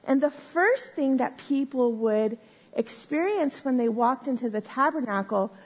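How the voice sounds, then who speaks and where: A woman teaching a Bible lesson to a live group on a microphone, explaining slowly and step by step and often checking in with "right?". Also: high-pitched, expressive, rough recording. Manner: says she has a loud voice. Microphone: handheld or stand mic.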